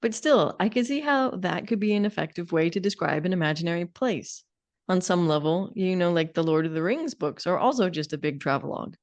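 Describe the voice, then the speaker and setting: A woman's voice: lowish voice, animated, brisk. A woman co-hosting a podcast in a casual discussion, speaking in a wry, colloquial way with informal emphasis. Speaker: podcast co-host.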